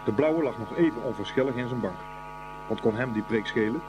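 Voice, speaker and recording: A man's voice: medium pitch, brisk, noisy background, close to an everyday voice. A man narrating a scripted boys' school story for a radio play. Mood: storytelling.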